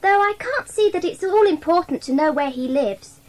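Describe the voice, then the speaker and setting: Earnest high-voiced child sleuth. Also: female voice, expressive, moderate pace. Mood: reasoning. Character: earnest, reasoning child member of detective club. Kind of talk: dramatised children's audiobook acting.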